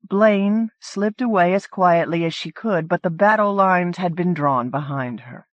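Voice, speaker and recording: A woman reading a story as an audiobook narrator, in an expressive storytelling manner. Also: fairly low pitch, measured pace, no echo, dull audio. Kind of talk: scripted fiction narration.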